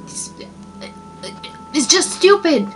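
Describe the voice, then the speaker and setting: A woman's voice: very high voice, lively intonation, slow pace, fairly bright tone, a little hiss or hum. A young woman answers viewer comments in a casual, rambling vlog, sounding exasperated and full of fillers. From Romania. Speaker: toy video maker answering comments.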